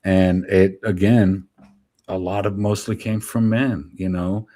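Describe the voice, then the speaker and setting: Casting: deep, warm-toned man in his forties or fifties, Spanish as his first language, chatty and rambling guest. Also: little pitch movement, slow pace. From New Jersey, US. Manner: rambling, filler-heavy, candid. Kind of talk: casual interview chat.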